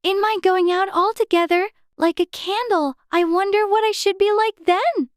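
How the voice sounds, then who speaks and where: Alice, a very high-voiced little girl. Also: steady tone, normal speed, dry recording, clean studio sound. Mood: wondering. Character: Alice, curious, self-scolding little girl. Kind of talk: dramatized audiobook character acting.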